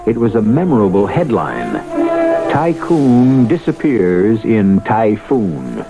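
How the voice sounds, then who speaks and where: A man hosting a vintage radio mystery, narrating in a dramatic, portentous way with deliberate phrasing. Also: on the low side, sing-song, poor audio. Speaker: radio drama host and announcer. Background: loud music.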